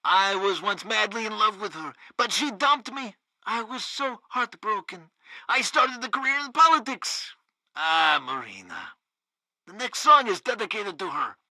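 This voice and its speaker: Bumbling male state-radio announcer, piercingly bright, dry close mic, pompous and excitable comic game delivery. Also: sits high, a little slow, no room sound, full character voice. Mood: lovelorn. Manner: excitable, theatrical. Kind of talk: comic propaganda radio broadcast acting.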